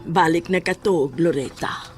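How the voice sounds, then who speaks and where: A woman's voice: lowish voice, measured pace, close to an everyday voice. A woman playing a stern, commanding, ominous older woman in a horror audio drama. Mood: stern warning. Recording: loud music, voice off the mic.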